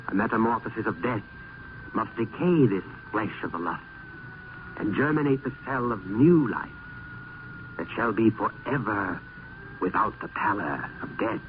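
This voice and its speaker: An actor playing a grave philosophical friend and narrator in a vintage radio play, with solemn, florid, oratorical delivery. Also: male voice, medium pitch, natural voice. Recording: tinny, noisy old radio recording.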